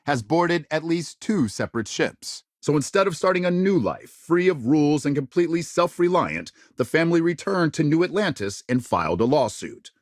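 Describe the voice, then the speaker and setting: An actor playing a polished, dramatic news anchor in a video game, with measured, grave and emphatic broadcast phrasing. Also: male voice, a little high, sing-song, dry recording, studio quality. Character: polished, dramatic male TV news anchor of a space-age network. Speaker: news reporter. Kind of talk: scripted broadcast news delivery.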